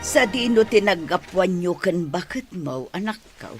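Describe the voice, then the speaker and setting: A woman's voice: lowish voice, lively intonation, measured pace, husky, faint music behind. A woman with an Ilocano accent acting as a thrifty, questioning older village mother in a radio drama. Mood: disapproving.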